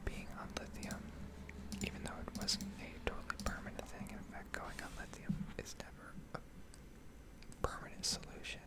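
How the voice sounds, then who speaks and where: A woman with an American accent whispering a candid, rambling ASMR monologue with hesitant phrasing. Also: normal speed. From New England, United States. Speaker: ASMR video creator.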